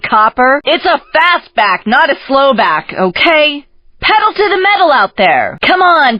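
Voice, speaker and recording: A bossy, trash-talking race coach fires off teasing video game lines. Her delivery is punchy and sarcastic, with very animated pitch. The recording is dry and a little dull. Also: no echo. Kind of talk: video game character quips. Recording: slightly dull compressed game audio.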